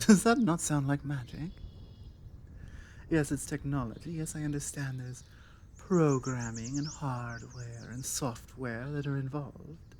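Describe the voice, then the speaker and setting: A woman with a British accent playing a forward, confident female vampire in an intimate ASMR roleplay, teasing and coaxing with deliberate pauses. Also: a bass voice, slight background noise. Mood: musing, playful. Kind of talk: ASMR roleplay, intimate one-sided conversation. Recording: steady outdoor ambience with birdsong. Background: birdsong and outdoor ambience.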